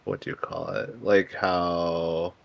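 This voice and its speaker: A man co-commentating on a game in casual chat, halting as he thinks, with dry sarcasm. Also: low voice, fairly even intonation, creaky, lo-fi sound.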